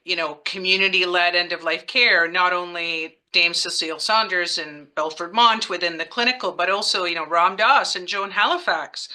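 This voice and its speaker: Woman from Czechia, nurse and therapist, very bright sharp voice, eager rambling question. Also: fairly low pitch, moderate pace, hardly any pauses. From Czech Republic. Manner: enthusiastic and rambling, many "you know" fillers. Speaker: audience member asking a question, nurse and psychedelic therapist. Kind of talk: Q&A comment.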